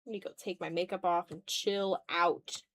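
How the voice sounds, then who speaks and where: A tired woman chatting casually and rambling in a low-key way as she hosts a live art stream and draws. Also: steady tone, normal speed, a bit bright. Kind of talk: casual livestream chat while drawing.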